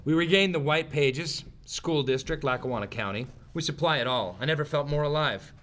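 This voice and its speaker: An actor at a comedy table read playing an earnest, self-important office manager with a formal, blunt way of talking. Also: male voice, a little high, brisk, a bit bright, natural voice. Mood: proud. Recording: faint noise, live room table read.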